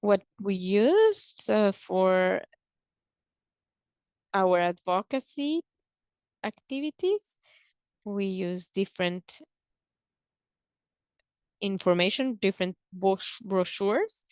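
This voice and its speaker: A woman presenting and explaining in a measured, halting way, pausing between short phrases. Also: mid-range voice, very animated, a little mellow, clear, resonant tone, no room sound. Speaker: presenter on a conference call.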